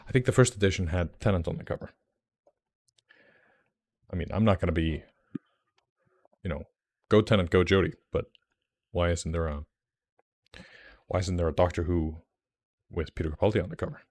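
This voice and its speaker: This is a young man from Iceland, speaking English as a second language, on a casual stream. His voice sits very low. He rambles warmly through fillers and restarts, getting excited about what he shows. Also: moderate pace, pauses often. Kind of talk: casual livestream chat.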